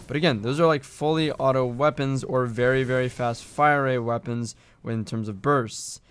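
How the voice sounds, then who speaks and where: Hoarse man explaining game strategy. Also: mid-range voice. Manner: conversational, thinking aloud with hedges. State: hoarse, voice cracking. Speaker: gaming video creator.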